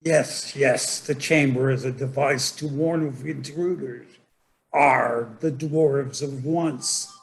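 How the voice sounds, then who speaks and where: A man plays a gruff dwarf warrior full of pride. His voice is gravelly and unhurried, with emphatic, declamatory phrasing suited to a fantasy script read. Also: mid-range voice, fairly even intonation. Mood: matter-of-fact.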